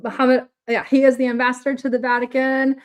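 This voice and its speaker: A woman's voice: high voice, level tone, a little fast. A woman co-hosting a casual political talk show, bubbly and enthusiastic, often laughing. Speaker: co-host.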